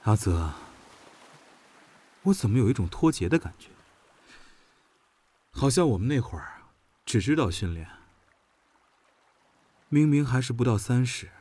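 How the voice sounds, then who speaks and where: A man's voice: medium pitch, very animated, a little slow, pauses often, warm tone, slightly rough. A man playing a playful, teasing Alpha general under thirty in a modern audio drama. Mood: wistful, subdued.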